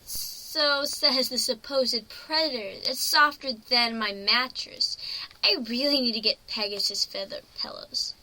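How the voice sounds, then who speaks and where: A woman's voice: on the high side, unhurried, bright, forceful tone, poor audio. A woman playing a curious, emotional female pony with a casual, wry way of talking in a story reading.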